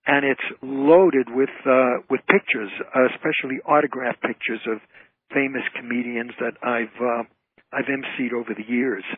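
An older man with a husky voice, telling anecdotes casually as a guest in a radio interview. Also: medium pitch. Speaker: guest, author and comedy emcee. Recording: tinny, narrow phone-line sound.

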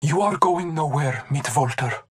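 Game casting: solemn masked hunter, formal and devoted, very slow, deliberate delivery. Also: female voice, low-pitched, animated, a little hiss or hum. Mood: devoted, restrained. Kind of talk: video game character voice lines.

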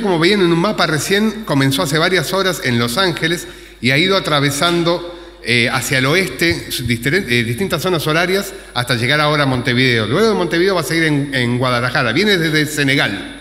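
Male moderator, bright fairly high voice, podium welcome. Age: middle-aged. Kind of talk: welcome speech at a conference. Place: conference stage at a podium. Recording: echoey, reverberant hall.

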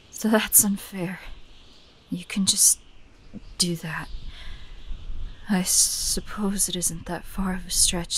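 A woman playing a prickly, guarded young hunter who secretly cares, in a soft, breathy romantic audio roleplay with a defensive, hesitant manner. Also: medium pitch, slight background noise, natural voice. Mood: hesitant, reluctant. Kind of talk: romantic audio roleplay acting, addressed to the listener.

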